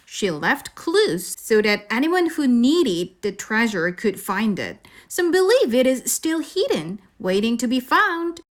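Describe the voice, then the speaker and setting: A female reader voicing a kindly owl schoolteacher in a storybook, telling things in a measured, storytelling way. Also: on the high side, sing-song. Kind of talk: storybook read-aloud.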